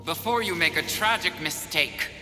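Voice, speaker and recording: Proud demon tempter for a game, highish male voice, measured speechifying, echoey w/ music. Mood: smooth persuasion. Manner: slow, measured, persuasive oratory. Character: proud, grand demonic sin, a seductive tempter. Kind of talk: dramatic video game cutscene acting. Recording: echoey hall reverb, loud music behind.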